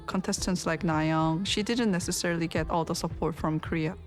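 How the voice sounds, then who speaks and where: A woman explaining things in the measured style of a documentary interview. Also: on the low side, fairly even intonation. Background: faint music.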